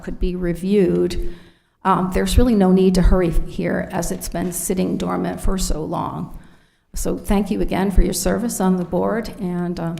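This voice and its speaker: A woman speaks at a planning board meeting in a low, gravelly voice with a mellow, dark tone. She reads a prepared comment and poses one pointed question after another, steady and critical. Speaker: resident giving public comment. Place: city council chambers.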